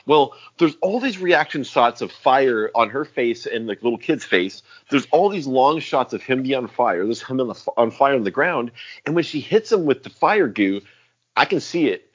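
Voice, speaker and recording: A man's voice: mid-range voice, moderate pace, slightly rough, muffled recording. A man co-hosting a podcast in casual chat, rambling and swearing as he acts out lines. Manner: animated, rambling, swears often, acts out quotes. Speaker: podcast co-host.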